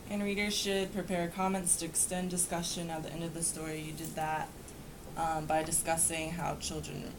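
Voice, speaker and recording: A young woman with a lowish, creaky voice reads review points and comments on them informally. The room recording is clearly noisy. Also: level tone, moderate pace. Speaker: trainee tutor giving peer feedback. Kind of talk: reading checklist items with casual comments.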